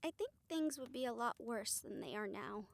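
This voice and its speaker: A woman playing a gentle, supportive rehabilitator comforting a friend in an intimate audio roleplay, speaking softly, earnestly and a little hesitantly. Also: a little high, expressive, normal speed, gravelly. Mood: earnest, reassuring. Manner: soft, hesitant, reassuring, earnest.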